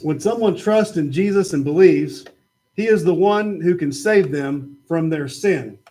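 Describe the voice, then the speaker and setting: Man, high voice, patient children's Bible storyteller opposite a puppet. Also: measured pace. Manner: patient, gently explaining. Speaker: puppet show presenter. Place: church.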